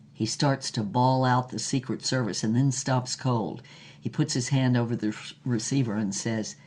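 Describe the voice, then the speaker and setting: A woman's voice: a bass voice, a little mellow, heavily acted. An actress playing a brazen, worldly ninety-year-old film star woman, recounting her affairs frankly and sassily in an audio drama interview. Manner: frank, sassy, unhurried storytelling.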